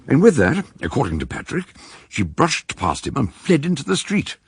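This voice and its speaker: Gravelly British storyteller, very husky. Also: male voice, mid-range voice, wildly swooping pitch, moderate pace, no echo, full character voice. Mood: storytelling. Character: male detective-story narrator recounting a murder case. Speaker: narrator and character in a detective radio drama. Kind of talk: radio drama narration and dialogue.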